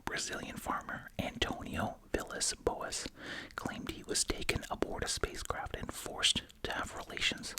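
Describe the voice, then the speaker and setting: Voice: moderate pace, few pauses. An ASMR host whispering close to the mic while chewing gum, reading aloud with casual, musing asides. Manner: informal, musing asides in a hushed voice. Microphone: close ASMR microphone.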